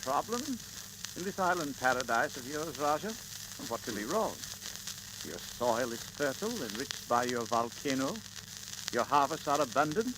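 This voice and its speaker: A man's voice: mid-range voice, very animated, normal speed, lightly acted. A man playing a polite, admiring lord in 1930s radio drama, with genteel declamatory phrasing on a crackling disc. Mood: admiring, puzzled. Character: polite, admiring English lord visiting the tropics. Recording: noisy, crackling 1938 transcription disc.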